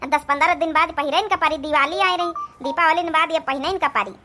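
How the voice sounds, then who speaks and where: A chatty old village mother-in-law as a woman plays her in a comic cartoon, fussy and fond. She rambles homely Awadhi-accented talk in a very high, bright voice. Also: brisk, a little hiss or hum. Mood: homely instructing.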